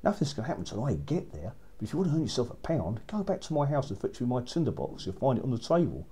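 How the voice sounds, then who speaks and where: A man's voice: mid-range voice, very animated, fast talker, warm tone, slightly rough. A man voicing a bold, cheeky young soldier in a children's story. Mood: urgent, cheeky.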